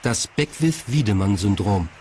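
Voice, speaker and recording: A man's voice: lowish voice, expressive, moderate pace, darkish tone, a little hiss or hum. A man reading scripted documentary narration in the role of narrator.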